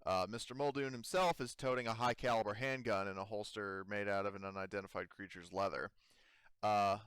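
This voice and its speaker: A man's voice: fairly low pitch, a bit bright, smooth, no room sound, clean studio sound. A man narrating a tabletop game session as game master in a casual, conversational storytelling way.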